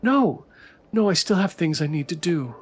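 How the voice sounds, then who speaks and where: A man's voice: fairly high pitch, lively intonation, normal speed, slight background noise, pitched above the performer's own voice, lightly acted. A man voicing an anxious, weary young woman researcher in a visual novel, hesitant and troubled, over music. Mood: defensive. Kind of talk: visual novel character reading. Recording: music behind the voice.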